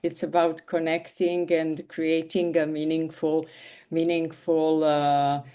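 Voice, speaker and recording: A woman's voice: deep voice, flat delivery, takes it slowly, dark tone, clean tone. A woman in her fifties from Switzerland, a leadership coach introducing herself on a video call, hesitant and full of fillers and restarts. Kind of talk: online call self-introduction.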